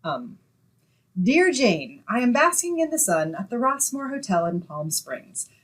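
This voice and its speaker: A woman's voice: on the high side, unhurried. Radio comedy straight woman played by a woman: young, sensible, wry, widely swinging voice. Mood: patient, amused. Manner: wry, expressive. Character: sensible, wry young woman, exasperated yet affectionate roommate. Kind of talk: old-time radio comedy acting and narration.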